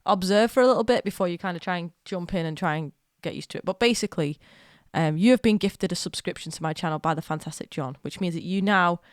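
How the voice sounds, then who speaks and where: A young woman streaming from home, chatting in a rambling, excitable way while getting over a cold with a slight cough. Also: lowish voice, dry recording, clean studio sound. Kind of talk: casual live-stream chat.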